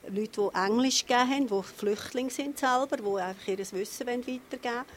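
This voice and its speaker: A woman's voice: on the high side, a little fast. A woman with a Swiss German accent giving spontaneous interview answers as the director of a meeting place. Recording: interview with clear background noise.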